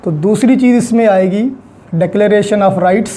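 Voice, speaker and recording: A law lecturer with a Pakistani accent explains terms deliberately, repeating them and mixing in English legal vocabulary. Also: medium pitch, warm tone, a little hiss or hum.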